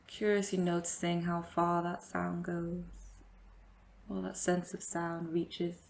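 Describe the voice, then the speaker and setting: Slow, creaky-voiced woman guiding meditation. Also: on the low side, flat delivery. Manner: gentle invitational instruction with long pauses. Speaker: yoga and meditation teacher. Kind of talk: guided meditation.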